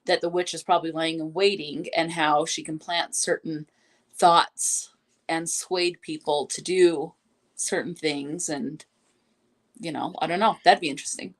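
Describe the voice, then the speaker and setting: Woman w a lowish voice, casual book chat cohost, kinda tentative and thoughtful. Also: steady tone, a little slow. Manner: tentative, reflective. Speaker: co-host. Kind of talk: casual book discussion on a live stream.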